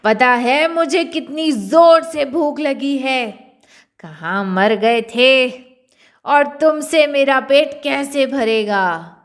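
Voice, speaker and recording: A woman voicing an angry, menacing male lion king in a children's story, with drawn-out threatening delivery. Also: high-pitched, pitched above the performer's own voice. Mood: furious.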